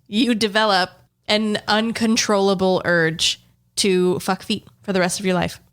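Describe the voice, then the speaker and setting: A woman around thirty co-hosts a casual comedy podcast. She teases her co-host in a dry, deadpan way. Her voice sits at a middle pitch for a woman. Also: a little slow. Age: 30s.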